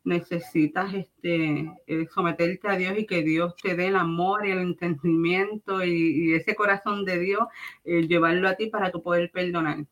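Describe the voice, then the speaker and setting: A woman's voice: fairly low pitch, steady tone, moderate pace. A middle-aged woman with a Puerto Rican accent gives a personal religious testimony, speaking earnestly and directly to listeners with emphatic repetition. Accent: Puerto Rican Spanish. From Puerto Rico.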